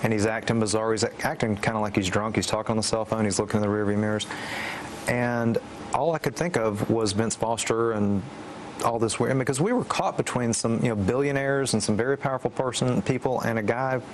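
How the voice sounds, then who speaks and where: A man's voice: fairly low pitch, slight background noise. A man recounting his own story as an interview guest, stammering and restarting as he goes. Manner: halting, stammering delivery. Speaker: interview guest, former political aide.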